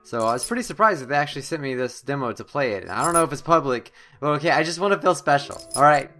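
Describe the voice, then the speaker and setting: A man's voice: a little high, expressive, quick pace, bright, forceful tone. A young man hosting a casual let's play, with joking, sarcastic asides. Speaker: gaming video host. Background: music.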